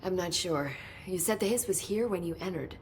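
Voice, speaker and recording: A woman's voice: on the low side, fairly quick, slight background noise, natural voice. A woman as a chatty, nerdy researcher. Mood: helpful. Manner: chatty, explanatory, nerdy enthusiasm. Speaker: research scientist guiding the hero.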